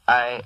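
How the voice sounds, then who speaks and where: A man's voice: no room sound, lo-fi sound. An actor playing an earnest, polite Greek immigrant man in a 1940s radio drama, chuckling and slightly hesitant, on a vintage recording.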